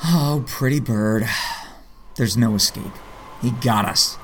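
A man playing a wry, lovestruck 38-year-old vigilante hero who narrates his story in a superhero audio drama, with a husky, self-deprecating delivery. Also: mid-range voice, slow, slight background noise, lightly acted. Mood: weary, reflective.

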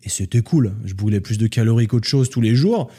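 A man from France, a podcast guest, telling stories in a relaxed, casual chat. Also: mid-range voice, fairly quick, slightly rough. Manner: relaxed storytelling, animated when joking.

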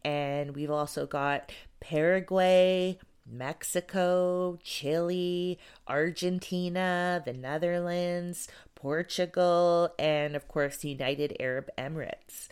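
A woman's voice: on the low side, clean tone. A woman hosting a paranormal podcast, chatting casually and a bit congested, thanking listeners in a rambling, friendly way. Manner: chatty, grateful, rambling with fillers. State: congested from a cold. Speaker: podcast host. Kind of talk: casual solo podcast talk.

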